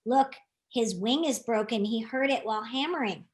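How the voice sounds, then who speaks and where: A woman reading a children's book aloud in an expressive, playful storytime style, chatting to young listeners as she goes. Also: a little high, moderate pace. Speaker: author reading her own children's book.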